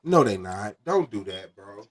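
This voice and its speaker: A man's voice: lowish voice, big pitch swings, unhurried. A man hosting a casual debate podcast, arguing his point insistently in slangy talk.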